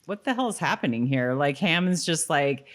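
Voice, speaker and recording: A woman's voice: low voice, lively intonation, normal speed. A woman talking as a podcast co-host about television. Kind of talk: casual conversational TV episode discussion.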